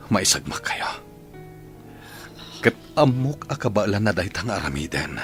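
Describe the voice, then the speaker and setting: A man's voice: sits low, very animated, unhurried, a bit bright, faint music behind, full character voice. A man playing a remorseful husband in a radio drama, speaking in an earnest, pleading way. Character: remorseful husband begging forgiveness.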